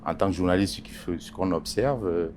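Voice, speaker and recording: A man, a journalist, answering interview questions in a halting way with many pauses and repeated words. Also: sits low, a little mellow, slight background noise. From Congo Basin. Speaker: journalist and editorial writer.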